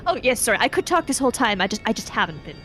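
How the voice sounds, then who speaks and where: A woman's voice: fairly high pitch, sing-song, very fast, pushed and bright, faint music behind. A woman chatting casually and roleplaying as a player in a tabletop game.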